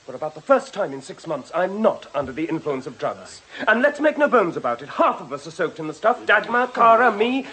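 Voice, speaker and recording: An actor playing a distraught, defiant young drug addict with a nervy, emphatic manner in a radio drama. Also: male voice, sits high, very animated, fairly quick, hardly any pauses, bright, forceful tone, breathy edge, full character voice, low-quality recording. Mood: defiant, heated.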